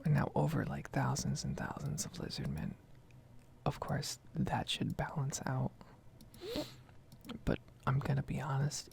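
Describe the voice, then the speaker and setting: Woman doing asmr gamer rambling, whispery and super creaky, right up on the mic. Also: sits low. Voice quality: breathy, soft whisper with creaky fry. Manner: casual, rambling, mild swearing. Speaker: ASMR gaming streamer. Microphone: close microphone.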